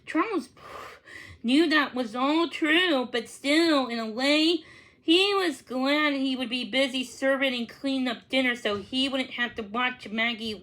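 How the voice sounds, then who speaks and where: Slow, high-voiced woman reading a story aloud. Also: a bit bright, low-quality recording. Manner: halting reading with stumbles and self-corrections. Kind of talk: read-aloud storybook narration.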